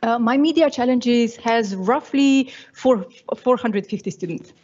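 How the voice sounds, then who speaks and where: Middle-aged woman from slovenia, lots of ums and uhs, higher voice, muffled lo-fi sound. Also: measured pace, darkish tone. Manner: hesitant, many fillers, earnest. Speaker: panellist, university teacher. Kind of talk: panel discussion answers.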